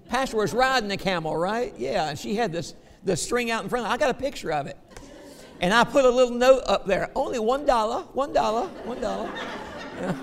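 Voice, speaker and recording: A man with a Southern American accent teaching a Bible lesson, folksy in his asides and slow and emphatic when he reads scripture. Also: sits high, in a large hall. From Southern United States.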